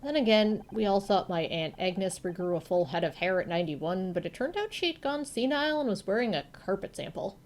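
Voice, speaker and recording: A woman voicing an excitable, rambling young woman amateur sleuth from a visual novel game, with a wry streak. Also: mid-range voice, brisk, hardly any pauses, a little hiss or hum, lightly acted.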